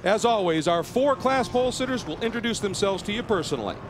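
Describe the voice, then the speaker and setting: A man's voice: sits high, lively intonation, quick pace, noisy background. A man hosting a live motor race broadcast as play-by-play announcer, with a polished, upbeat delivery from the booth.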